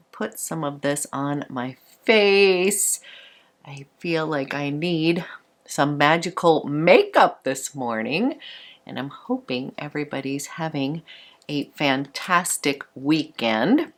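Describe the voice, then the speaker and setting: A woman beauty vlogger chatting brightly through a makeup tutorial, a little congested from a cold. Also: sits low. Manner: bubbly and chatty, fond of "a little".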